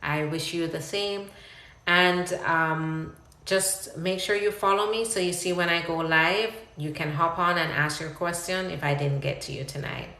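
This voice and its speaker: Jamaican-accented woman, low gravelly voice. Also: steady tone, a little slow, pushed and bright, reverberant. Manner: explains carefully with frequent ums and restarts. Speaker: immigration attorney. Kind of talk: live-stream Q&A.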